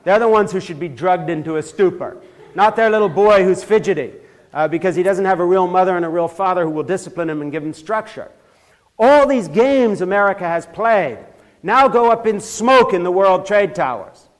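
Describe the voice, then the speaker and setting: An older man from Switzerland lectures in a church with a fairly high voice. He builds from measured remarks to heated, forceful emphasis and often trips over and restarts his phrases. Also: animated. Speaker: speaker giving a church talk. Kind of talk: impassioned lecture.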